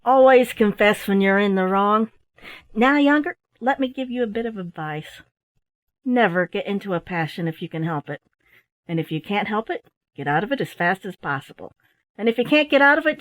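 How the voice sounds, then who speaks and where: A woman voicing a rough but kindly male sailor in his early thirties, full of bluff, hearty seafaring talk in a storybook reading. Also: mid-range voice, lively intonation, normal speed, no room sound, close to an everyday voice. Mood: advising.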